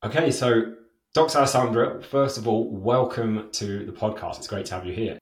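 A man's voice: fairly low pitch, normal speed. A man hosting a podcast, reading a scripted intro and then asking questions in a loose, chatty way. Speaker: podcast host. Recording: roomy echo.

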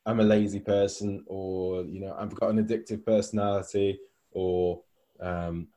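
A man's voice: low-pitched, little pitch movement, normal speed, dark tone. A man co-hosting a podcast, talking casually as a coach with lots of "like" and "you know". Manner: conversational, many fillers like "like" and "you know".

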